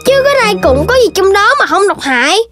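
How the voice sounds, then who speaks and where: A woman's voice: very high voice, big pitch swings, fairly quick. A woman voicing a playful, dramatic young animal child in a children's cartoon, with a Southern Vietnamese accent and exaggerated childlike delivery. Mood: argumentative. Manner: exaggerated, childlike, whiny when upset. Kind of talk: children's cartoon dub acting. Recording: music behind the voice.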